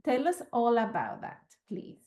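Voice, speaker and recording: A woman from Venezuela with a Venezuelan accent, presenting as an author in a measured, conversational way. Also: on the high side, wildly swooping pitch, warm tone.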